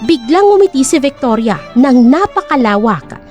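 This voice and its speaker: Babaeng tagapagsalaysay ng audio drama. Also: female voice, high voice, sing-song, normal speed, a little mellow, soft background music. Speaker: narrator. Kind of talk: scripted dramatic story narration.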